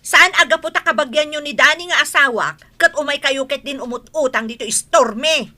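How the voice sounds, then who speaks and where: A woman with an Ilocano accent playing a stingy, sharp-tongued village wife in a radio comedy drama, scolding and exclaiming. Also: sits high, brisk. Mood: reproachful.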